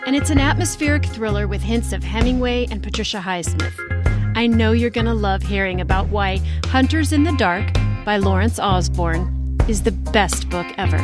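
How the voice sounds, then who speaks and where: A woman's voice: medium pitch, moderate pace, over loud music. A woman hosting a book podcast, chatty and upbeat, reading a scripted welcome before she starts interviewing her guest. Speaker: podcast host.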